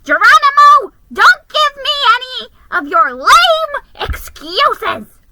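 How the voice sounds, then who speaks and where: A woman voicing a bossy, no-nonsense female mouse sister who gives loud orders in a storybook. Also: very high-pitched, big pitch swings, takes it slowly, heavy background noise, pitched above the performer's own voice, full character voice. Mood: bossy, exasperated. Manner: loud, commanding.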